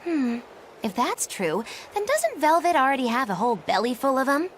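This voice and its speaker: A woman's voice: high voice, big pitch swings, moderate pace, slight background noise. An actress playing a smug, theatrical and mischievous young witch in a video game. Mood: gleeful. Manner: theatrical, knowing. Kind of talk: animated video game voice acting.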